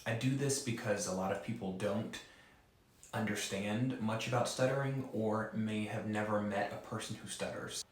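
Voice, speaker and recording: A man's voice: on the low side, normal speed, heavy reverb. A man who stutters gives an earnest, direct instructional talk as a presenter for an advocacy group.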